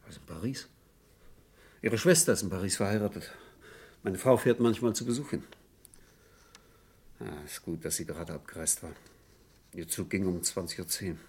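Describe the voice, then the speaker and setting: An actor playing an evasive, nervous insurance agent under questioning in a radio crime drama, halting and apologetic in his speech. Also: low-pitched, a little mellow, slightly rough. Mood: hesitant, sour.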